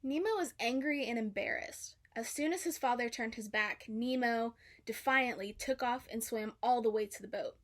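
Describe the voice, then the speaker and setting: A woman with a fairly high voice reads a children's story aloud. Her delivery is scripted storybook narration. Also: normal speed, few pauses. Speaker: storybook reader.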